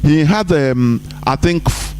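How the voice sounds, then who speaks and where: A man's voice: animated, takes it slowly, darkish tone, soft background music. Emphatic male live-stream host. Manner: emphatic, rises high on points, drops low for asides. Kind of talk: live online broadcast monologue.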